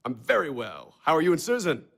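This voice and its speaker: A man's voice: on the high side, huge pitch swings, fairly quick, vocal fry, fairly bright tone, full character voice. A man acting in a naturalistic audio drama as an abrasive, sarcastic, domineering fixer who swears freely. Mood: slick, hostile. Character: abrasive, bullying, foul-mouthed money-minded fixer named Max.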